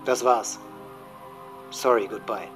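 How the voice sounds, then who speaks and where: A man's voice: lowish voice, fairly even intonation, takes it slowly, over music. A man playing a disturbed, hate-filled teenage school shooter in a German audio drama monologue. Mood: regretful farewell.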